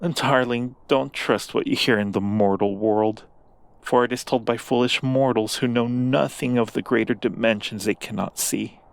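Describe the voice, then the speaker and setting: A man's voice: mid-range voice, steady tone, vocal fry, natural voice. A man playing a seductive, coaxing demon in an ASMR roleplay, speaking with silky, formal persuasion to a mortal. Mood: seductive, reassuring. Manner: slow, silky persuasion with formal, deliberate wording.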